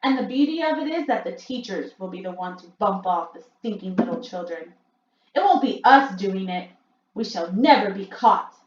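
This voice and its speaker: A woman reading a storybook aloud and voicing a crowd of excited female witches who shriek and yell. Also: mid-range voice, moderate pace, roomy sound, dull audio, natural voice. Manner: shrieking, yelling.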